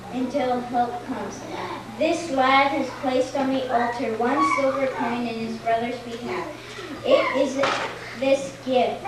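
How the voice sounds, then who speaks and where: A woman with a high voice reads a story aloud in an earnest, deliberate way. She is heard from a distance in a heavily reverberant hall, with clear noise over the recording. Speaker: storyteller narrating a church puppet show. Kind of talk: scripted story narration, read aloud. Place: large echoey church hall, recorded from afar. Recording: very echoey, distant amateur recording, loud noise.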